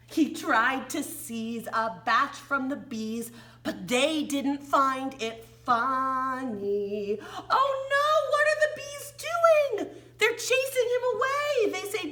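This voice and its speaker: American woman, children's storytime teacher: high, swooping voice, unhurried pace, playful sing-song reading. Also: bright, forceful tone. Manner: exaggerated, playful delivery with gasps and questions to small children.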